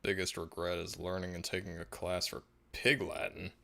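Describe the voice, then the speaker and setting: Male streamer with a very deep voice and a relaxed, meandering delivery. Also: moderate pace, creaky. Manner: laid-back and musing, frequent restarts and soft laughs. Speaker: livestream host. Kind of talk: casual livestream chat, reading viewer comments.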